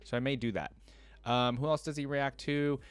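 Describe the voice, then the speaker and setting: A man's voice: medium pitch, fairly even intonation, moderate pace, smooth, no echo. A man hosting a reaction video, giving casual, rambling commentary on video game voice lines.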